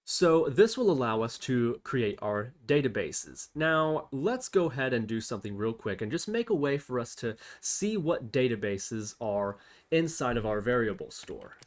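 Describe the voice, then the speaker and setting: A man's voice: medium pitch, sing-song, moderate pace. Man thinking aloud through a coding tutorial. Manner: thinks aloud step by step while typing. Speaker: programming tutorial host. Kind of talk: unscripted screen-recorded coding tutorial.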